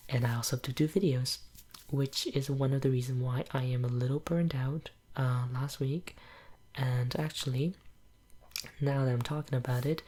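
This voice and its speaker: A young woman whose first language is Cantonese, chatting in a soft ASMR whisper at home, rambling with lots of fillers. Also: extremely low pitch, slightly rough. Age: young adult. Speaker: ASMR channel creator. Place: home room with green screen.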